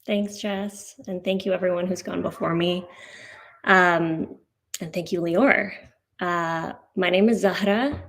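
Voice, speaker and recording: Canadian-raised woman with a gravelly edge. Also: lowish voice, steady tone, slow pace. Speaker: invited speaker. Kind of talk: personal talk at a community event.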